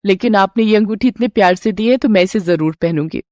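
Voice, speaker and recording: A woman voicing a polite, easily amazed young daughter-in-law in a Hindi cartoon moral tale, respectful and expressive. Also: medium pitch, fairly quick, no room sound, highs cut off, natural voice. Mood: amazed, puzzled. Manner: respectful, wide-eyed, expressive. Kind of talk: cartoon storybook character voice.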